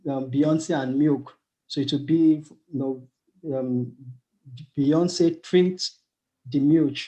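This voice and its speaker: A man's voice: fairly high pitch, dark tone, low-quality recording. A man giving tips and answering questions on a video call, talking hesitantly with many fillers and restarts. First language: not German. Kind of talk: casual online talk.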